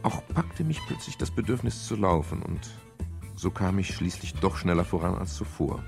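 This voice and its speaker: Radio thriller lead: pensive, sober detective, low-pitched, gravelly, measured delivery. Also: male voice, sing-song, music in the background, full character voice. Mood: sober, tense. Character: pensive, matter-of-fact private detective narrating his case. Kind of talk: scripted first-person radio crime narration.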